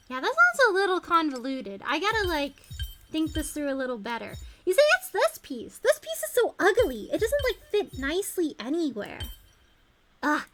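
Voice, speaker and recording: Female game streamer, high and bright voice, chatty and expressive, thinking out loud over faint music. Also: moderate pace. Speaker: video game livestreamer. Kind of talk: casual gaming stream chat.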